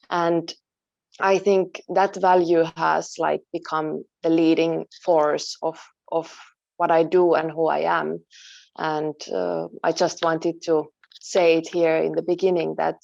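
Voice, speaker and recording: A woman from Finland, a native Finnish speaker, being interviewed about her work and talking thoughtfully, pausing and searching for words. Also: on the low side, creaky, darkish tone, dry recording, dull audio. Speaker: interview guest, photojournalist. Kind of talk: online interview.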